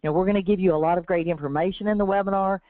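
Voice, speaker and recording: A woman with a sore throat and a slightly raspy voice hosts a webinar. She reads from a prepared script in an earnest, encouraging tone. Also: fairly low pitch, steady tone, rapid-fire, dry recording. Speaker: webinar host from a business development team. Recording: tinny, dry phone-line audio.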